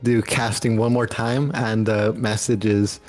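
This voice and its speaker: A man's voice: fairly low pitch, flat delivery, measured pace, darkish tone, soft background music. A man chatting casually as a player in a tabletop roleplaying game. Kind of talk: casual gaming chat.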